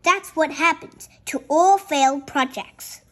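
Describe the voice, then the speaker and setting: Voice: high-pitched, slow, fairly bright tone. A storyteller reading the part of a doubting but kindly cockroach friend in a picture book. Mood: matter-of-fact.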